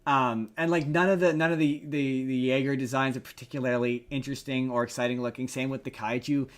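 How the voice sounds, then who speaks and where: Male podcast co-host, mid-pitched, casual and rambling, repeats phrases for emphasis. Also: fairly even intonation, brisk. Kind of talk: casual chat reviewing movies.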